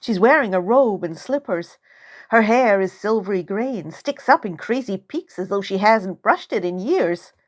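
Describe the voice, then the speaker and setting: A woman's voice: mid-range voice, lively intonation, moderate pace. A woman narrating a first-person story as its author, in a dramatic, suspenseful style. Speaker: author reading own novel.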